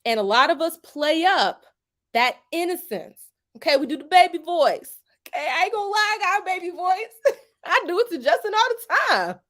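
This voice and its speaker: A woman's voice: very high-pitched, lively intonation, normal speed, a bit bright. A young woman giving a casual opinion monologue to camera, emphatic and colloquial, often checking in with "okay?", swearing freely and laughing between points. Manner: colloquial, drops into "y'all" and "ain't". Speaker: YouTube commentator.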